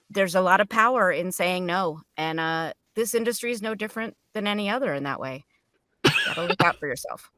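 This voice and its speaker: A woman's voice: mid-range voice, fairly bright tone, no echo. A woman speaking as a voice actor guest, giving casual, emphatic and direct advice on a roundtable.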